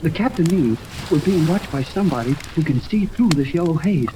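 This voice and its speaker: Casting: male actor for boyish, earnest young sidekick, very animated pitch, vintage radio adventure, noisy recording. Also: a little fast, close to an everyday voice. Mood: earnest, amazed. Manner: earnest, exclamatory, folksy slang. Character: eager, excitable boyish young male crewman. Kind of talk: 1930s radio serial acting. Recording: noisy old radio, rain ambience over it.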